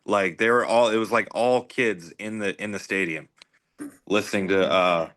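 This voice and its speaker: A man's voice: lowish voice, moderate pace, fairly bright tone. A man reminiscing casually as a podcast guest, repeating phrases and leaning on "like" as he goes. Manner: informal, repeats phrases, frequent "like".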